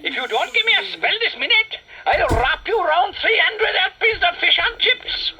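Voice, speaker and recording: A man's voice: very high voice, expressive, normal speed, pushed and bright, pitched above the performer's own voice, heavily acted. A man voicing a scheming female witch in a cartoon, with a screechy, sarcastic way of talking. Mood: shrieking. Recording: dull lo-fi soundtrack with faint music.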